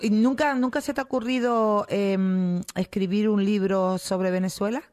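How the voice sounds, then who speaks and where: A woman's voice: medium pitch, steady tone, normal speed, darkish tone. A woman radio interviewer, prompting her guest with short questions.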